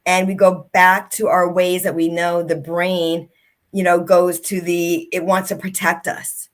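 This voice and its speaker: Middle-aged woman guest on a podcast, kinda low voice, earnest and reflective, tells stories about her life. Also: flat delivery, a little slow. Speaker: author and speaker, guest. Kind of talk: reflective interview conversation.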